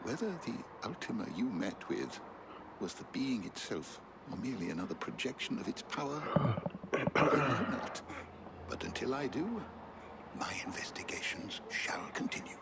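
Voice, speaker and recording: A wordy old-world scholar, gravelly. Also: male voice, medium pitch, big pitch swings, moderate pace, background noise. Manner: formal, archaic phrasing. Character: learned older male scholar, courtly and wordy.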